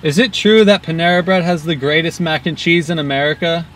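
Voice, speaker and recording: A man's voice: a little high, steady tone, brisk, a bit bright, low-quality recording. A young man playing pranks as a customer in casual banter, talking from inside a car at a drive-thru. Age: young adult. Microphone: camera held by passenger in the car. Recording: car engine and outdoor noise.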